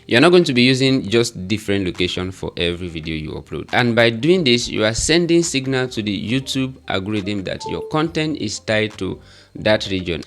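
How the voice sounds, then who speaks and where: A man's voice: fairly low pitch, fairly bright tone. A man with a Nigerian accent, explaining steps in an earnest, repetitive tutorial manner. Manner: earnest, repetitive step-by-step instruction. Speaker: YouTube growth tutor. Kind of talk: tutorial talking to camera.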